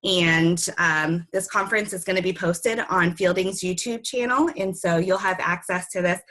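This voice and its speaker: A woman's voice: lowish voice, normal speed, fairly bright tone. Woman with a worn voice teaching a webinar. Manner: friendly, many ums, repeats herself. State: voice worn from recent illness. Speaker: presenter of an online conference session. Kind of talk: webinar presentation.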